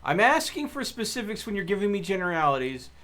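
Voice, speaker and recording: A man's voice: high-pitched, big pitch swings, fast, sharp tone. A man streaming a video game and bantering casually with a co-player in a wordy, argumentative, exasperated way.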